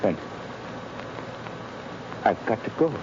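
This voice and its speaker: An actor playing a bitter, bewildered condemned prisoner in a 1930s radio drama, emotional and agitated, on a very noisy old recording. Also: male voice, takes it slowly, highs cut off, lightly acted. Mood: anxious.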